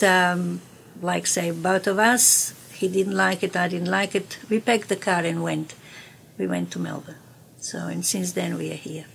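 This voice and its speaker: An older woman with a Slovenian accent, a native Slovenian speaker, reminiscing in an interview in a small radio studio, with halting phrasing and many fillers. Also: fairly low pitch, creaky, a little hiss or hum, low-quality recording. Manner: slow, halting speech with many fillers and restarts, gestures with hands. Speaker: interviewee, community radio broadcaster.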